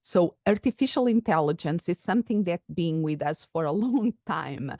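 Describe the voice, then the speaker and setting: A woman's voice: fairly low pitch, lively intonation, moderate pace, mellow, no echo. Portuguese-accented woman, dry close sound. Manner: explanatory, thoughtful, occasional restarts. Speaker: psychologist and executive coach, interview guest. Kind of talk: conversational interview answers.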